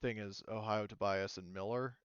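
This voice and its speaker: A man running a tabletop roleplaying game as game master, talking casually and haltingly as he thinks aloud. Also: fairly low pitch, steady tone, smooth, no room sound, lo-fi sound. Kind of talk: running a tabletop roleplaying game, improvised narration and rules talk.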